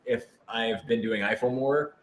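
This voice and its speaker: A man chatting casually on a question-and-answer panel as a voice actor, animated and self-deprecating. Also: mid-range voice, measured pace. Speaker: voice actor in an audio drama. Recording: roomy echo with clear background noise.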